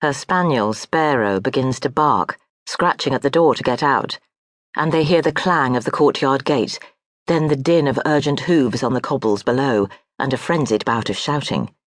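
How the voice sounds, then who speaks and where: An audiobook narrator reading historical fiction in a measured, even storytelling manner. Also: mid-range voice, no echo. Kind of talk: scripted literary narration. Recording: dry close studio audiobook.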